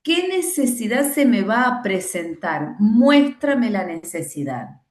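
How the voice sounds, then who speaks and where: A woman's voice: a little high, big pitch swings, takes it slowly, roomy sound. A middle-aged woman from Argentina with an Argentine accent, leading a training talk and emphatically acting out imagined excuses and prayers. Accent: Argentine Spanish. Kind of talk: teaching conversation.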